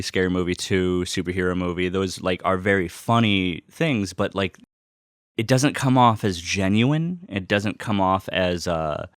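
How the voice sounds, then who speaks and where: A man's voice: deep voice, smooth, no room sound, studio quality. A man hosting a personal vlog in casual, unscripted talk, rambling and often cutting himself off. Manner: rambling, self-interrupting. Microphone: close personal microphone.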